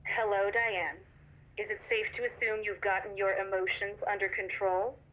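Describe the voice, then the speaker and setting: An actress playing a cold, controlling corporate boss in a video game, condescending and deliberate, heard over a phone line. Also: female voice, mid-range voice, level tone. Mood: icy, threatening. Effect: phone call filter. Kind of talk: naturalistic video game acting. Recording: tinny, narrow phone line.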